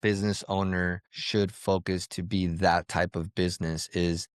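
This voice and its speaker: A man's voice: sits low, level tone, a little slow, smooth, no room sound, clean studio sound. A man hosting a podcast interview, speaking in an emphatic, advising way. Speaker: podcast host.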